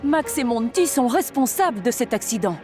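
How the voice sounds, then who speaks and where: A woman's voice: on the high side, expressive, normal speed, over music, natural voice. A woman reading scripted narration for a children's train cartoon. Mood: intrigued.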